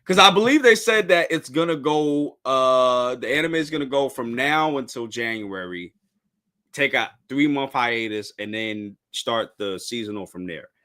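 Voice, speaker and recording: A man in his thirties talks loosely about anime as a show host, wandering off and restarting his thoughts. His pitch jumps around a lot and his tone is bright and forward, with a relaxed, chatty way of talking. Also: unhurried. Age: 30s. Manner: rambling, restarts, says "bro". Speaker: podcast host. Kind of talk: casual anime discussion.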